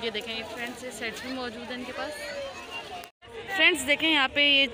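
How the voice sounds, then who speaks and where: A woman's voice: high voice, fairly quick. A woman with a Pakistani Urdu accent vlogging a market tour, chatting to viewers and asking sellers prices. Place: busy outdoor street market. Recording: crowded outdoor market noise. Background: crowd chatter and traffic.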